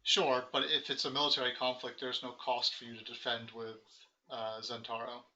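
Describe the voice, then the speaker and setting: A man's voice: mid-range voice, moderate pace, highs cut off. An American man co-hosting a live card game stream, chatting casually and rambling with lots of fillers. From United States. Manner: relaxed, rambling, many fillers. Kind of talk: casual post-game chat.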